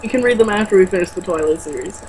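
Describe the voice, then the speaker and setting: A woman's voice: fairly low pitch, lively intonation, rapid-fire, darkish tone, a little hiss or hum, poor audio. A woman reading a novel aloud and breaking into exasperated, sarcastic, sweary commentary and casual banter.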